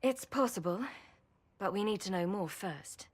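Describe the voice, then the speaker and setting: A woman's voice: mid-range voice, animated, normal speed, natural voice. A woman playing a world-weary champion in a video game, speaking in a dry, reflective manner. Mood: serious. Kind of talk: video game cutscene acting.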